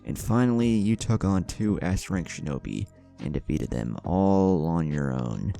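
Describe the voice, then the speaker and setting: A man plays a stern older shinobi schemer hungry for power. The voice is very low and gravelly, speaking deliberately and rhetorically in a story with soft music behind it. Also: animated, full character voice. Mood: imposing. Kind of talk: fan fiction audiobook dialogue. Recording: music under the voice.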